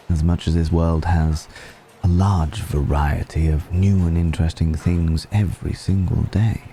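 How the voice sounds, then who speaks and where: A man playing a suave, ancient aristocratic vampire in an intimate ASMR roleplay, musing slowly and deliberately with long pauses. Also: steady tone, warm tone, a little hiss or hum. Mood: musing, aloof. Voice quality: very deep, gravelly.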